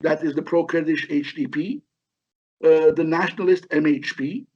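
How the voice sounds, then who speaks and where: Higher-pitched professorial man, muffled audio. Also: fairly even intonation, a little mellow, husky. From Lebanon. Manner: halting, professorial, explanatory. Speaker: history professor, interview guest. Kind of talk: expert interview answers. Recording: dull, lo-fi call audio.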